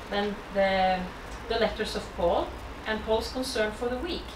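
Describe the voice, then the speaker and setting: Norwegian woman lecturer, slightly high voice, measured reading from notes, distant mic in a reverberant hall. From Norway. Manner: measured, reads from prepared text with small self-corrections. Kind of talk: academic lecture. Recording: echoey hall from far off, background noise.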